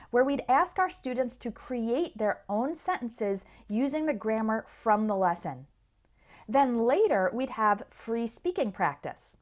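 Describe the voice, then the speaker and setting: A woman teaching an English lesson in a fairly high voice. Her intonation is very animated, rising and falling to stress key points. She explains step by step and addresses learners directly. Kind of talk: instructional lesson to camera or listeners.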